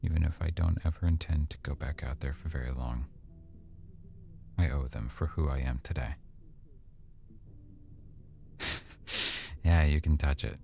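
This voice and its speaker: Man acting as affectionate boyfriend, very deep male voice, gravelly fry, soft-spoken, casual hesitant phrasing, intimate ASMR roleplay. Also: fairly even intonation, unhurried, pauses often, full character voice. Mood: fond, intimate.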